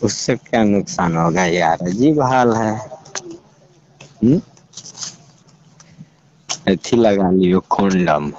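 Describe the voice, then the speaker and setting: A man's voice: low voice, lively intonation, slow, pauses often, a little mellow. A man with a Bihari accent chatting casually on a phone call, explaining things in a colloquial way. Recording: dull lo-fi call recording, clear noise.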